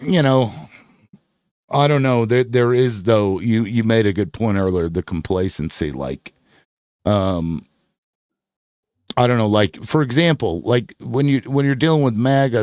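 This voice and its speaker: Man, host, halting casual talk, dry close recording. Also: mid-range voice, darkish tone, dry recording. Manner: halting, searching for words. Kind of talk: casual chat.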